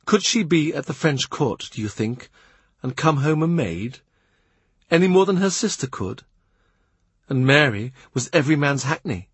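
A young lute player chattering gossip in a raised, lighter voice, as a man puts him on. The tone is slightly husky and the recording dry and close. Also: a little high, lively intonation, moderate pace, no echo. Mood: gossipy.

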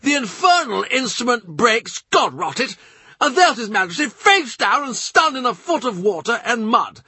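A man's voice: extremely high pitch, normal speed, breathy edge, no echo, voice pushed up, heavily acted. A frantic, blustering old nobleman. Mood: agitated, hectoring. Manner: excitable, lecturing, emphatic. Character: blustering panicky nobleman, older man. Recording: dry close recording.

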